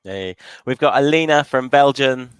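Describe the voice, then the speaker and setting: A man's voice: medium pitch, animated, normal speed, clear, resonant tone, no room sound. A man hosting a live online fan panel show in a chatty presenting style.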